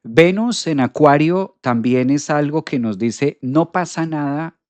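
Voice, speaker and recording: A man's voice: fairly high pitch, slow, no echo. A man hosting a live astrology stream, talking animatedly to viewers, repeating phrases and reading out their comments. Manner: animated, repeats phrases, addresses audience directly.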